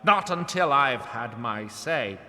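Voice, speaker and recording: Slow, formal, accusing court treasurer. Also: male voice, mid-range voice, lively intonation, bright, forceful tone, full character voice. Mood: defiant accusation. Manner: formal, measured courtly speech. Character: scheming lord treasurer, grave and boldly accusing. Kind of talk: fantasy audio drama acting. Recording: echoing hall with long reverb.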